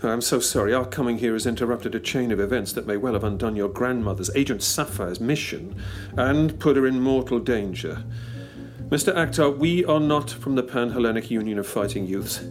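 Brisk, cocky time traveller, played by a man; even mid voice over light music. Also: mid-range voice, natural voice. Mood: confident. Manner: brisk and confident, playful. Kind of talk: audio drama acting.

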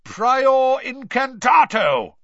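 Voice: medium pitch, big pitch swings, moderate pace, dry recording, dull audio, voice pushed up. A narrator playing a hot-tempered middle-aged male wizard official who blusters and hectors in a growling manner. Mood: roaring.

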